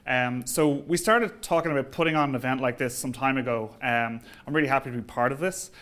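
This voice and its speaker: A man giving an informal presentation as an engineering manager, conversational and hesitant, with frequent ums and pauses. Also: mid-range voice, a bit bright. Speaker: engineering manager speaking at a company event.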